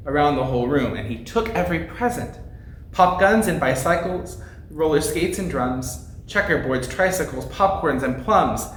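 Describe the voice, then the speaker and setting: A man, a pastor, reading a rhyming storybook aloud with theatrical, emphatic expression. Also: fairly high pitch. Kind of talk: expressive storybook narration in rhyme. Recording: echoey room with faint noise.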